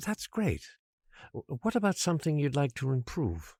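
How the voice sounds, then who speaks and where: An actor playing a gentle, encouraging therapist in an audio drama, patient and reassuring. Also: mid-range voice, wildly swooping pitch, normal speed, dry recording, studio quality. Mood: encouraging, inquiring.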